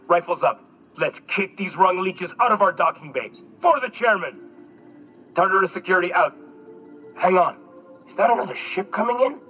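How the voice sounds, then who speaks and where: An actor playing a desperate, excitable male security officer in a video game, talking in urgent, blustering exclamations. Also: high voice, muffled recording.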